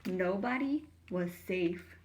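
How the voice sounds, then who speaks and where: A woman's voice: fairly low pitch, slow pace, darkish tone. A woman reading a children's story aloud as a teacher would, narrating expressively for young listeners.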